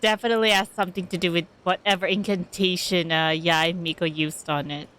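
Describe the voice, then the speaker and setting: A woman giving casual live game commentary, halting as she thinks aloud. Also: on the low side, fairly even intonation, a bit bright. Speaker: game streamer.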